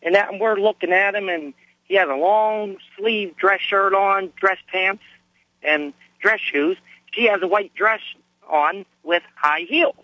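A man from Pennsylvania, calling a radio show while hoarse from a cold, telling a story in a plain, folksy way. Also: sits high, unhurried, no room sound. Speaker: caller. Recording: dry, muffled phone line.

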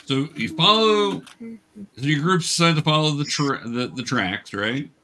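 A man working as game master, narrating a tabletop game to young players in a halting, explanatory way. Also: on the high side, lively intonation, sharp tone. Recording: clear background noise, clicks.

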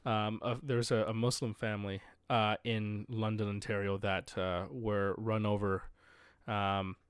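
A Canadian man hosting a casual podcast, blunt and animated, swearing freely. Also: lowish voice, a little slow. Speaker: podcast host.

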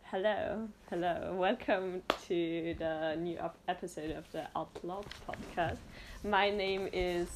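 A woman's voice: lowish voice, fairly even intonation, takes it slowly, noisy background. A young woman from Austria interviewing a guest on a podcast, hesitant with many fillers and restarts. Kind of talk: casual conversation.

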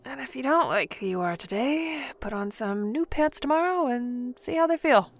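A woman's voice: high voice, animated, normal speed. A woman playing a blunt, world-weary yet hopeful companion in a radio drama, casual and wry. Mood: wry reassurance.

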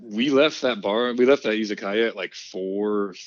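A man's voice: on the low side, moderate pace, dry recording, highs cut off. A young man with a Californian accent telling a long rambling story in casual podcast chat, full of "like" and "dude". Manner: rambling storyteller, heavy "like" and "dude", laughs often.

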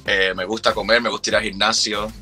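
A man in his thirties from Cuba with a Cuban accent, chatting as an interview guest, relaxed and quick to laugh. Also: fairly low pitch, husky, soft background music. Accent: Cuban Spanish. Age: 30s. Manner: relaxed, chatty, laughs often, many fillers. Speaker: guest, drag performer and singer. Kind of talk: casual interview chat.

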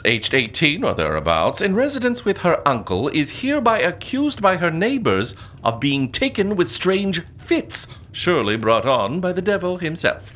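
A man's voice: fairly high pitch, a bit bright, a little hiss or hum. A man playing an officious Puritan clerk who formally recites witchcraft charges in a comic audio drama. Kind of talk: comedic audio drama acting in archaic English.

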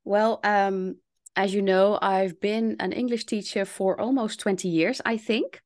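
A woman in her forties with a Dutch accent, talking as a guest on a podcast. She tells her story in long, reflective stretches and voices her own past thoughts aloud. Her voice sits at a middle pitch. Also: fairly even intonation, unhurried, a little mellow. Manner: reflective, speaks in long runs with quoted inner thoughts. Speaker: English coach, guest.

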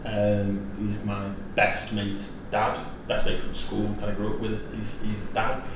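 A man with a Manchester accent, co-hosting a podcast in relaxed, chatty talk that trails off. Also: fairly low pitch, measured pace. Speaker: podcast co-host. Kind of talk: casual chat. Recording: echoey room, distant mic, background noise.

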